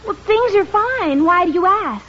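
Sweet but pointed young wife, very high voice, old radio comedy, muffled recording. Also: female voice, lively intonation, a little slow, fairly bright tone, heavily acted. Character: poised young married niece, sweetly pointed. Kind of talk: 1950s radio comedy acting. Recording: dull, faintly noisy 1950s radio.

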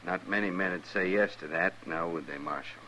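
An actor playing an evasive, unruffled miner passing through town, measured and plain-spoken, in a 1950s radio western. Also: male voice, low-pitched, sharp tone. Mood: guarded, sly. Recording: muffled, faintly hissy old radio recording.

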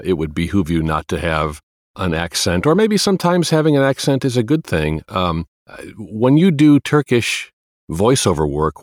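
A man's voice: low voice, no echo, clean studio sound. A man hosting an interview, asking conversational, probing questions. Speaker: interview host. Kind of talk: podcast interview.

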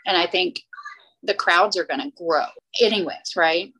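A woman's voice: on the low side, a bit bright, slightly rough, rough recording. Chatty woman podcast co-host. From southern United States. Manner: chatty, rambling, quick laughs. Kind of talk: casual chat.

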